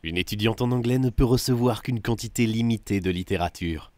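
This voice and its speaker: Male film narrator, lowish register, scripted third-person read, very dry studio-like sound. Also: a little fast, a little mellow, dry recording.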